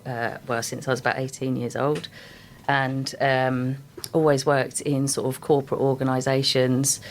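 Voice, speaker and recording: Low-voiced woman, unwell. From England. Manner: chatty, enthusiastic about her work. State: unwell, voice deeper than usual. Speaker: guest, sales and marketing manager. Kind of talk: radio interview guest. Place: radio studio.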